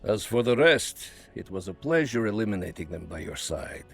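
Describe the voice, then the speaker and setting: An actor playing a cold, calculating Soviet spymaster with a measured, menacing delivery in a video game cutscene. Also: male voice, on the low side, sing-song, gravelly, husky, soft background music, full character voice. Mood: cold, businesslike. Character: cold, calculating Soviet spymaster, a man who plots patiently.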